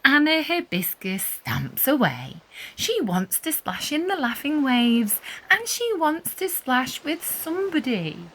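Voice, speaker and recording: A woman reading a children's story aloud expressively, with deliberate emphasis and animated storytelling. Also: high voice. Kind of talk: expressive read-aloud narration for young children.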